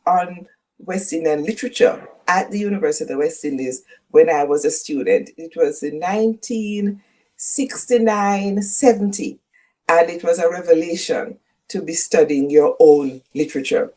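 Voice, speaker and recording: Older Jamaican woman, dark husky voice. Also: fairly low pitch, normal speed. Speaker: guest professor. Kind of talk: reflective interview conversation.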